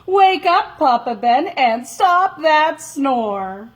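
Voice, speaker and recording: A woman voicing a sleepless, insistent boy in a children's storybook, calling out in loud, commanding, drawn-out phrases. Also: high voice, sing-song, pitched above the performer's own voice, heavily acted. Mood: insistent, exasperated.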